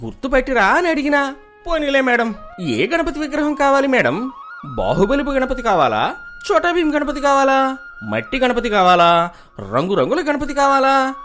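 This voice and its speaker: A woman's voice: sits high, sing-song, moderate pace, fairly bright tone, soft background music. A woman playing an eager, chatty male idol seller in a cartoon, with Telangana Telugu patter. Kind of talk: animated comic story dialogue.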